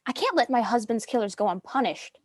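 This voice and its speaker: A woman's voice: fairly high pitch, animated, fairly quick, husky, dry recording, clean studio sound. A woman playing a proud, composed noble widow in a dramatic script reading, speaking formally and with poise. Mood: wounded pride.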